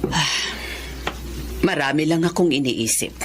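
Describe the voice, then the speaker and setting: A woman's voice: low-pitched, measured pace, background noise. An actress playing a wounded, resigned female cousin in a radio drama, frank and weary. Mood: pensive. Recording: music under the voice.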